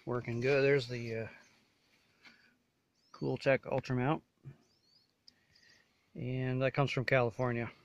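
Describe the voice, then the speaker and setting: A man's voice: mid-range voice, flat delivery, slow, pauses often, muffled recording, a little hiss or hum. A man casually demonstrating gear as a reviewer, talking in short, hesitant phrases. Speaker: gear reviewer showing a radio install.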